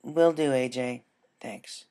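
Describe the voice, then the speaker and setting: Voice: medium pitch, steady tone, slow pace, dark tone, smooth, lightly acted. A performer voicing a tired, subdued young female pony in a cartoon fan story.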